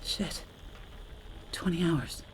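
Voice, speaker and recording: An actress playing a wry, talkative astronaut facing doom in an audio drama, sardonic and conversational in manner. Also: female voice, on the low side, animated, very slow, slight background noise, low-quality recording. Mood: grim, sardonic. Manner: sardonic, conversational, hesitant asides. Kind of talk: naturalistic audio drama acting.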